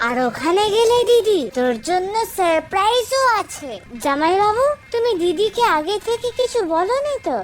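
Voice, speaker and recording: A woman's voice: very high voice, expressive, normal speed, faint music behind. A woman voicing an eager, cheeky young brother-in-law in a family cartoon, with chirpy delivery. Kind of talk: cartoon voice acting.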